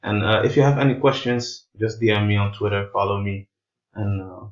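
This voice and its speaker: A man, a native Portuguese speaker with non-native English, giving an informal explainer in a halting, hesitant way, searching for words. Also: fairly low pitch, dull audio. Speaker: presenter of an explainer video.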